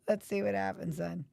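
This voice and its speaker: A woman with a raspy, allergy-hoarse voice chatting casually while watching hockey at home. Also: mid-range voice, slight background noise. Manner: chatty, asks many questions. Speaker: livestream host.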